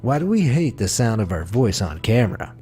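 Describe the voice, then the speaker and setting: A man reading a scripted facts video as narrator, with a smooth, wry delivery. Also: on the low side, very animated, brisk, over music.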